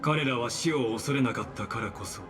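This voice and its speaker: An actor playing a cryptic, composed scholar antagonist in a video game, speaking with formal, measured politeness. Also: male voice, mid-range voice, lightly acted. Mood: composed, ominous. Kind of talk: Japanese video game dub acting. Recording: game music behind the voice.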